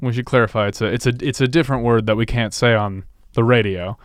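A man's voice: medium pitch, quick pace, dry recording, clean studio sound. A man hosting a radio interview in a casual, wordy way, feeling his way through his phrasing. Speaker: radio show host.

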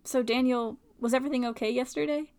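A woman's voice: high-pitched, steady tone, quick pace, a little mellow. A woman acting a chatty, prying female college student in a dramatic reading. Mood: curious. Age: young adult. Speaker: actor and stage-direction reader.

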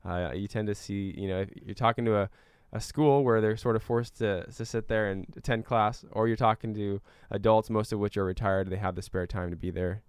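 A man's voice: lowish voice, fairly even intonation, a little fast, few pauses, clean tone, no echo, clean studio sound. A man giving earnest, explanatory answers as a guest in a conversational interview. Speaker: climate educator and guest.

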